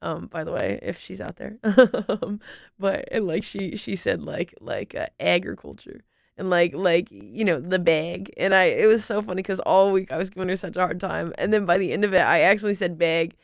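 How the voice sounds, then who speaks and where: A woman's voice: on the low side, expressive, normal speed, vocal fry, no echo, clean studio sound. A young woman from North Carolina, a podcast guest, chatting enthusiastically and rambling with lots of "like"s. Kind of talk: casual conversation.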